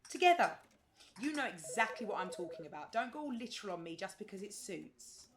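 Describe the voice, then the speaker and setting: An actress playing a blunt, loyal, teasing friend in audio play dialogue. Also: female voice, mid-range voice, big pitch swings, fairly quick, crowd noise, lightly acted. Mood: teasing, probing.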